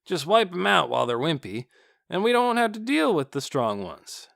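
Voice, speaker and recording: A man's voice: high-pitched, big pitch swings, moderate pace, vocal fry, fairly bright tone, dry recording, clean studio sound, pitched above the performer's own voice, full character voice. A man voicing an ordinary, chatty night-watch guard in an audiobook, griping in a colloquial way. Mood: casual. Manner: colloquial, laid-back griping. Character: casual, chatty male night-watch guards, uneasy but joking. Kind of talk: audiobook character dialogue.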